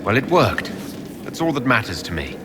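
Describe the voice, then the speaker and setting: A man's voice: medium pitch, very animated, normal speed, close to an everyday voice. An assured male fantasy game leader played by an actor, with widely moving intonation. He is formal, composed and authoritative, over faint background noise.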